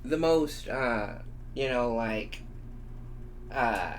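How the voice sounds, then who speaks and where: A man's voice: medium pitch, animated, drawn-out words, slight background noise. A young man co-hosting a casual podcast chat, rambling and laughing often. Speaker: podcast co-host.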